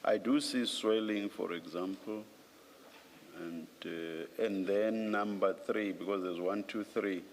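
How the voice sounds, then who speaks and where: A man's voice: lowish voice, steady tone, slow, a little hiss or hum. A man chairing a formal hearing, questioning hesitantly and repeating words as he thinks aloud. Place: hearing room.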